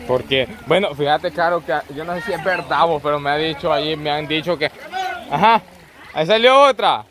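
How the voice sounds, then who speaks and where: A man's voice: on the high side, moderate pace, a crowd in the background. A man with a Central American Spanish accent chatting casually and teasingly as he hosts, outdoors by a river. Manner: teasing, jokey, chuckles often. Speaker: host filming and chatting with group. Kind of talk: casual chat. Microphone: handheld camera held by the speaker. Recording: outdoor river noise and group voices.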